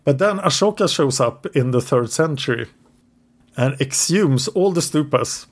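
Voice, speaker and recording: English-speaking man, podcast co-host, mid-pitched, narrates history from notes with the odd hesitation. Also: expressive, normal speed. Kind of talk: casual history podcast storytelling.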